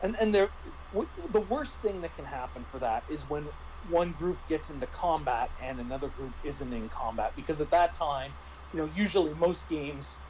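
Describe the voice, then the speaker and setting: A man in a casual panel discussion about roleplaying games, speaking haltingly as he thinks aloud. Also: on the high side, lively intonation, darkish tone, a little hiss or hum, poor audio. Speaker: tabletop game master and podcast panelist.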